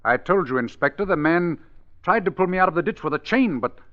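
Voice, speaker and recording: Man acting an accused husband, animated voice. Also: fairly high pitch, brisk, natural voice. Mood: desperate, agitated. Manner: defensive, emphatic. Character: accused husband, weary and increasingly desperate suspect. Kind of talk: 1940s radio drama acting. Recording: dull old radio recording.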